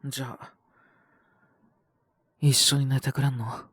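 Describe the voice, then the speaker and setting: Man acting a husky, languid lovesick wolf boyfriend. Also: medium pitch, little pitch movement, takes it slowly, a bit bright. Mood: teasing, sulky. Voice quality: husky, breathy, often near a whisper. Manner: languid, rough casual speech, teasing. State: sick with a cold, coughing (acted). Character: possessive young half-human wolf man, lovesick, teasingly mean. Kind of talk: intimate romantic audio roleplay for women listeners.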